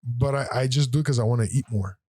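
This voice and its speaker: A raspy-voiced man co-hosting a casual podcast, blunt and argumentative in debate. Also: mid-range voice, fast, a little mellow, no echo, studio quality. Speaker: podcast co-host. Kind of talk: casual chat.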